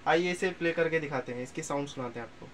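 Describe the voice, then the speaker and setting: Male seller, slightly high voice, casual step-by-step demo with repetition, amateur indoor recording with background noise. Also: fast. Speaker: seller presenting second-hand audio equipment. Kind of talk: casual product demonstration.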